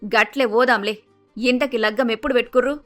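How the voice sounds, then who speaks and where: A woman's voice: fairly high pitch, steady tone, a little fast, faint music behind, lightly acted. A woman voicing a blunt, bossy middle-aged village mother-in-law with an emphatic Telangana Telugu accent, in a cartoon story. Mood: brisk, matter-of-fact. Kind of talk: acted dialogue in animated moral story.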